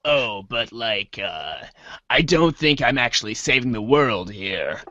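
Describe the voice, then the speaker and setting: A man's voice: medium pitch, lively intonation, a little slow, bright, forceful tone, no room sound, highs cut off. A man playing a casual, slightly whiny teenage boy in an amateur dramatic reading, speaking hesitantly. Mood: casual, unsure. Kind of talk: amateur dramatic reading of webcomic chat dialogue.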